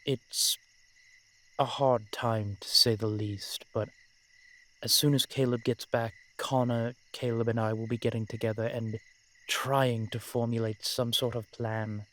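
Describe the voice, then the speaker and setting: A man playing a brooding, protective vampire in an audio roleplay, speaking in formal, measured phrases with dramatic pauses. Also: mid-range voice, level tone, fairly bright tone. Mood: grave, worried. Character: brooding, protective male vampire, old-fashioned and frustrated. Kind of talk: scripted audio roleplay acting addressed to the listener.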